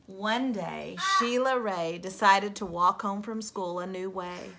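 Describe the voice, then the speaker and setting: A woman teacher reading a storybook aloud to young children, expressive and emphatic, speaking to them directly. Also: medium pitch, slow, slight background noise.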